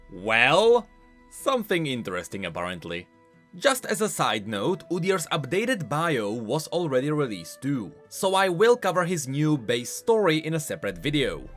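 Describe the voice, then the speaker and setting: A man hosting a video game lore explainer, speaking in an animated, emphatic way. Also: a little high. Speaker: host of a game lore channel. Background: music.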